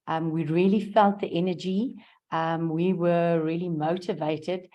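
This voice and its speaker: Hoarse low-voiced woman. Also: fairly even intonation, lo-fi sound. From South Africa. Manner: earnest, halting with many ums. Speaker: guest speaker sharing event feedback. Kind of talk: unscripted testimonial on a video call.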